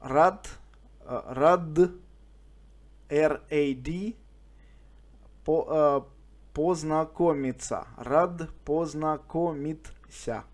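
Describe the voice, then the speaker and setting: A man's voice: fairly high pitch, extremely slow, pauses often, darkish tone. A man from Russia with a Russian accent, chatting over amateur radio in hesitant English as he searches for words.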